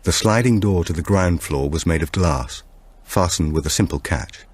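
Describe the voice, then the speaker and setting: A man's voice: low voice, moderate pace, slightly rough, no echo. A man narrating a supernatural thriller audiobook from a script.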